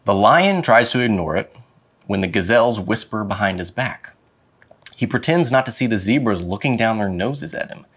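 A man reading a children's picture book aloud with dramatic, expressive phrasing. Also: on the low side, husky. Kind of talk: expressive storybook read-aloud. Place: indoor studio with plain dark backdrop.

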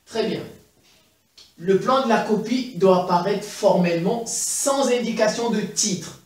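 A middle-aged man with a Central African French accent giving an animated chalkboard lesson, repeating points with many fillers. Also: high-pitched, measured pace, distant mic. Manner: animated, gesturing, repeats points with frequent "eh ben, voilà". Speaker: teacher. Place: classroom in front of a chalkboard. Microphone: far camera. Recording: very echoey room, voice from afar.

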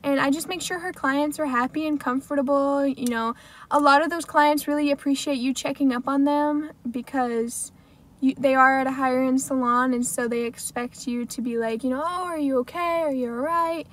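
A young American woman with a high voice chats casually in a vlog recorded inside a car. Her talk is informal and chatty, sprinkled with "like" as she explains things to the camera. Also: fairly even intonation, moderate pace. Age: 20s. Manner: chatty, frequent "like" as filler. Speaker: salon assistant vlogger.

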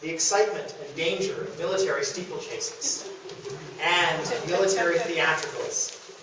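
A young man giving a prepared history lecture to the public. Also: fairly high pitch. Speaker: presenter of a local history talk. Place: indoor meeting room in a historic house. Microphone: distant camera microphone. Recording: echoey room from far off, faint noise.

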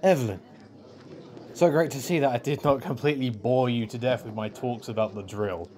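Audio drama: actor as friendly male engineering professor, medium pitch, thorough, instructive, chatty. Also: brisk, a little mellow, natural voice. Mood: friendly, pleased. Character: Frank, affable engineering professor, thorough explainer.

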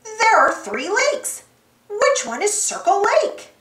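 A woman's voice: very high voice, wildly swooping pitch, unhurried, pushed and bright, echoey room, voice pushed up, full character voice. A woman voicing an eager, friendly young boy monkey who exclaims and wonders aloud in a children's storybook. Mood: excited, curious.

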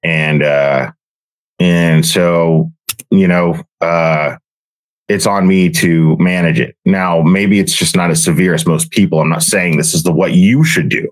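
A man livestreaming a casual monologue in a halting, hesitant, rambling way. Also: low-pitched, steady tone, no room sound. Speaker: livestreamer, online creator.

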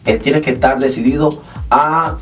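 A man's voice: medium pitch, fairly even intonation, moderate pace, far from the mic. Earnest Cuban man on studio interview. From Cuba. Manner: earnest, explanatory, sings gospel lines. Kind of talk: radio interview. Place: small radio studio. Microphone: studio mic with headphones.

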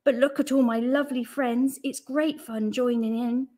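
A woman's voice: fairly high pitch, fairly even intonation, normal speed, dark tone, natural voice. A woman voicing an eager young boy character from a storybook, exclaiming keenly. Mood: delighted.

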